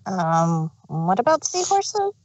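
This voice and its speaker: A woman's voice: medium pitch, big pitch swings, slow, darkish tone, no echo. A woman voicing a naive, curious and slightly sassy little boy dragon in a fan fiction reading. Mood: puzzled.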